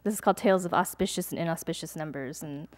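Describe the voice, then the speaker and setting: A young adult woman, a poet, reading her poems aloud at a live event, measured and deliberate, with chatty, hesitant asides between pieces. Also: sits low. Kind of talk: poetry reading with casual asides to an audience.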